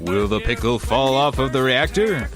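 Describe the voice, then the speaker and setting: A man's voice: mid-range voice, expressive, moderate pace, music in the background, lightly acted. A man playing a mock-serious, grandiose narrator of a comedy radio serial. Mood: suspenseful. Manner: melodramatic, grandiose.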